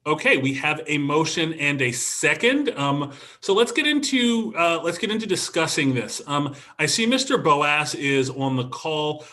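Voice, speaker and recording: A man's voice: a little high, sing-song, unhurried, few pauses, bright, forceful tone. A man chairing a council meeting over a video call, guiding the proceedings with frequent ums and restarts.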